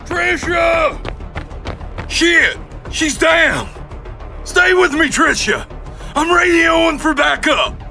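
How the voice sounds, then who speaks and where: Frantic, very high-pitched henchman guard. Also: expressive, extremely slow, vocal fry, pauses often, sharp tone, faint music behind, exaggerated voice. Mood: panicked. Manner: frantic, stammering. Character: panicking henchman guard, frightened and shouting. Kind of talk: audio drama acting.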